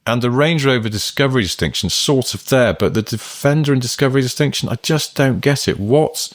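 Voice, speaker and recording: A man's voice: mid-range voice, lively intonation, a little fast, no room sound. A man co-hosting a podcast in casual chat, musing and rambling with lots of hedging. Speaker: podcast co-host.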